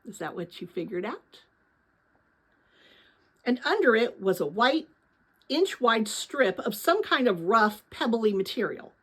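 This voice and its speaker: Female storybook reader, slightly high and breathy-husky, lively melodic range, dramatic read-aloud for kids. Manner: expressive, pauses to address young listeners with questions. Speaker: read-aloud narrator of a children's novel.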